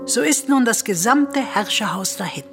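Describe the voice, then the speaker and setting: A woman playing a solemn palace attendant in a classical tragedy radio play, with a breathy, husky voice. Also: on the high side, lively intonation, moderate pace, over music.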